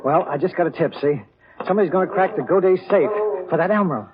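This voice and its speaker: An actor playing an excitable, slangy small-time sidekick and tipster in a 1940s radio crime show. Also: male voice, high voice, quick pace, a crowd in the background, close to an everyday voice. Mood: excited. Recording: muffled old radio broadcast.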